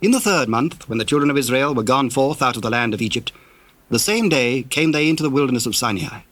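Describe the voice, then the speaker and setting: A man narrating a dramatized scripture reading in a solemn, formal and measured storytelling manner. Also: medium pitch, fairly bright tone, dry recording.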